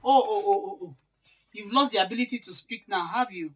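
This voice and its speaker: A woman's voice: medium pitch, a little fast, a bit bright, slightly rough, rough recording. A woman with a Nigerian accent playing a domineering middle-aged stepmother, sarcastic and nagging in an audio drama. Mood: sarcastic, confrontational.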